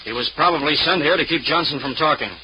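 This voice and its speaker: An actor playing a confident, probing police inspector in an old radio mystery. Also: male voice, fairly high pitch, fast talker, sharp tone. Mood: triumphant. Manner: probing, assertive. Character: brisk, confident male police inspector questioning suspects. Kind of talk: 1940s radio mystery acting. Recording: noisy old-time radio recording.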